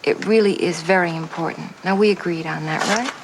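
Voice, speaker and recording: An actress playing an anxious, insistent wife in a vintage television drama. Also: female voice, on the low side, a little fast, close to an everyday voice. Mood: anxious, pleading. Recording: noisy old television soundtrack.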